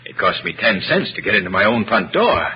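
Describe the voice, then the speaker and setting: A man's voice: lowish voice, sing-song, a little fast. An actor playing a flustered, apologetic middle-aged American father in a 1940s radio comedy, hesitant, stammering and nervously polite. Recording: muffled, noisy 1940s radio recording.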